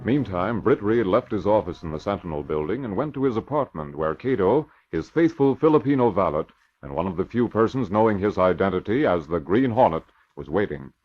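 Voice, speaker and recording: A man narrating an old-time radio drama in a measured, formal storytelling manner. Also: sits low, very animated. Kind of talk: scripted radio drama narration, 1940s style. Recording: muffled vintage radio recording.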